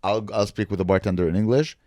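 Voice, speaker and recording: A man, co-hosting a casual comedy podcast, talking in an animated, profane, lecturing way. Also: fairly low pitch, fast talker, no room sound, clean studio sound. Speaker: podcast co-host.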